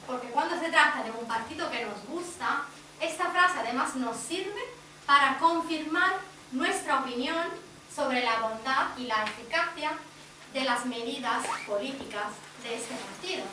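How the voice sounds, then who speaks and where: Italian-accented woman presenter, high and bright, speaking to a group from across a reverberant room. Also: lively intonation, unhurried, slight background noise. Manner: explanatory, addresses audience directly. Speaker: workshop presenter. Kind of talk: explanatory talk to a group. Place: indoor workshop room. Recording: echoey room, distant mic.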